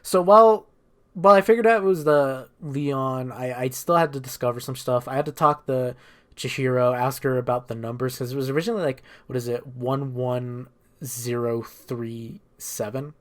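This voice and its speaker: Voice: medium pitch, normal speed. A speaker giving a casual, improvised story recap as a gaming streamer, rambling and irreverent with plenty of swearing.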